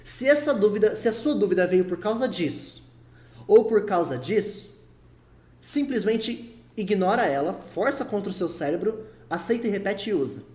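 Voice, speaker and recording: A man's voice: high-pitched, expressive, normal speed, a little mellow. A man with a Brazilian Portuguese accent giving a casual video lesson as a teacher, chatty and coaching, repeating his points for emphasis. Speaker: English teacher. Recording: echoey room.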